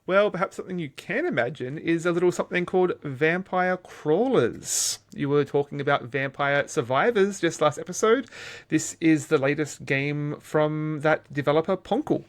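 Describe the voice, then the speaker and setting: Man casually talking games, halting delivery. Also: on the high side, lively intonation. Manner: halting, self-correcting, repeats words often. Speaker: video game podcast host. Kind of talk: casual game discussion.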